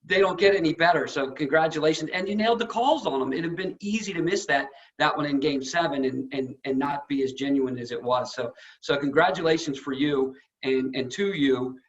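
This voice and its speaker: A man's voice: on the high side, brisk, hardly any pauses, fairly bright tone. A man with an American accent hosting a casual interview, effusive and admiring in how he talks. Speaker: interview host. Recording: dull, lo-fi audio.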